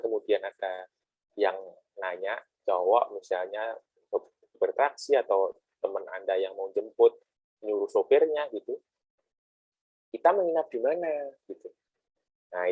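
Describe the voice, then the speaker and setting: A middle-aged male lecturer with a lowish, slightly husky voice, recorded close and dry with almost no room sound. He explains in a chatty, conversational way, full of rhetorical asides and everyday comparisons. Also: normal speed, long pauses, no echo, phone-quality sound. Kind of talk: classroom lecture.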